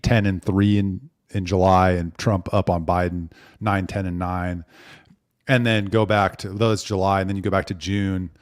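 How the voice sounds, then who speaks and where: A man with a lower voice co-hosts a political podcast. He walks through polling figures in a measured way, recorded dry and close. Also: darkish tone, dry recording. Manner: measured, reciting poll numbers. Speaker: podcast co-host. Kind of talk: casual political podcast chat.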